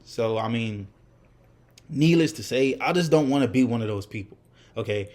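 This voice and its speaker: A man's voice: medium pitch, lively intonation, normal speed, a little mellow. A man in his twenties talking casually to camera, candid and reflective, rambling with many fillers and restarts. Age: 20s. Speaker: vlogger. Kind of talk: casual unscripted talk to camera.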